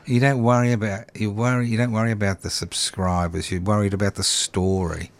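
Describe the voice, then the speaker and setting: A man's voice: on the low side, level tone, fairly quick. An Australian man hosting a community radio interview, teasing his guest with dry banter. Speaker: radio host. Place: radio studio.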